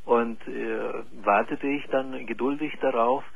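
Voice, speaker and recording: A man in his forties from Chile, calling in to a radio show and speaking haltingly with many pauses and fillers. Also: medium pitch, steady tone, gravelly, breathy edge, narrow, tinny audio. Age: 40s. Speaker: caller. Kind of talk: call-in radio conversation.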